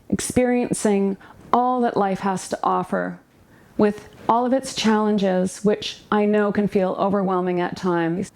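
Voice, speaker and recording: A woman with a mid-pitched voice narrates a guided relaxation practice. She gives gentle, soothing instructions in the manner of a meditation teacher, leaving unhurried space between her phrases. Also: vocal fry. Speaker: yoga nidra relaxation guide, therapist.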